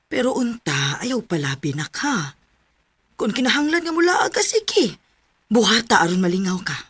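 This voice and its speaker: A woman playing a gentle, concerned middle-aged mother in a radio drama, husky, with a Cebuano Filipino accent. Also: fairly high pitch, very animated, moderate pace, full character voice.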